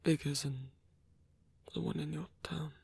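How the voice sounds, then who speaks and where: A man voicing a lonely old male vampire in an ASMR roleplay, gentle, rambling and bookish, with a soft, breathy, creaky voice and a halting, musing delivery. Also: a little high, steady tone, no room sound, exaggerated voice. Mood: shy, inviting. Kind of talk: ASMR roleplay audio addressed to the listener.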